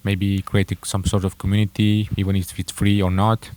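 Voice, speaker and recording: A young man with a Romanian accent telling his own story to camera, halting and correcting himself with many fillers. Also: deep voice, flat delivery, a little mellow. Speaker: entrepreneur vlogger. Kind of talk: casual personal story to camera.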